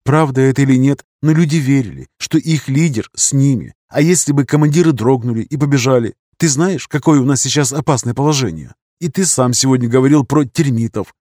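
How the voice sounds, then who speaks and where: Man voicing a gentle resolute young wife. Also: brisk, few pauses, no room sound, studio quality, lightly acted. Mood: pleading. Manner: soft, earnest, slightly raised pitch. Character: young woman, calm, resolute wife and mother. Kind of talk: audiobook character reading.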